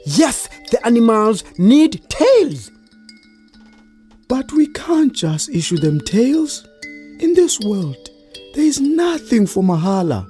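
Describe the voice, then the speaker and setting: An actress playing a playful, grand creator spirit in children's audio theatre, speaking theatrically with musing hums. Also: female voice, on the high side, very animated, slow, dark tone, soft background music.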